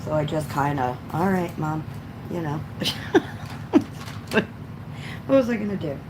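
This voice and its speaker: Low-voiced, unhurried woman chatting casually. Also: expressive, background noise. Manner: halting, reminiscing, frequent throat clearing. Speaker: livestreamer. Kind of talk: casual rambling chat while coloring.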